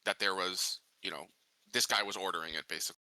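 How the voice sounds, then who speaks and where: A man playing a tabletop game, improvising casually and haltingly as he searches for words. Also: low voice, steady tone, bright, forceful tone, no echo, poor audio.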